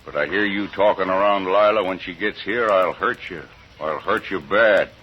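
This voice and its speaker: An actor playing a brooding, menacing Old West homesteader in a radio western, plain-spoken and threatening, with an American accent. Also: male voice, deep voice, moderate pace, close to an everyday voice. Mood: quiet menace. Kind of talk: 1950s radio western acting. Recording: dull, noisy old radio recording.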